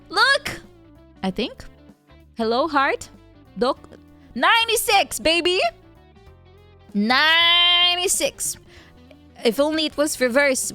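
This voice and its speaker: A woman's voice: high voice, bright, forceful tone. A young woman streaming, chatting casually with viewers. She laughs often, thinks aloud with fillers, and is tipsy from wine. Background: faint background music.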